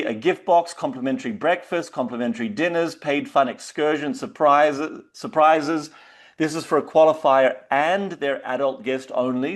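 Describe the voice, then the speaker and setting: A man with an Australian accent hosting a webinar, talking loosely and casually with frequent fillers. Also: medium pitch. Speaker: webinar host. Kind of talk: casual presentation.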